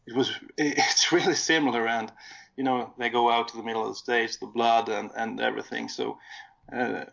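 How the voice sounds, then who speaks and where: A man with a Swedish accent and a creaky, fry-laden voice speaks casually. He pauses and hesitates as he recounts his experience. The audio is dull and lo-fi. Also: medium pitch, steady tone, fairly bright tone. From Sweden. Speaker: guest recounting a concert he attended. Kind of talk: casual conversation.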